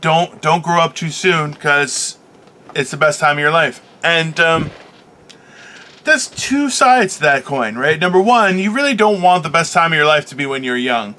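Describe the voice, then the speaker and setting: A man in his late thirties co-hosting a podcast, chatting casually in a loud, animated and teasing way. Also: on the high side, normal speed. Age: 30s. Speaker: podcast co-host. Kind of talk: casual chat.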